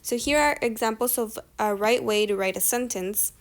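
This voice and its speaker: A woman's voice: a little high, moderate pace. A young woman teaching a grammar lesson over on-screen slides, explaining rules and often correcting herself as she goes. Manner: talks through slides, frequent self-corrections. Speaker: tutor.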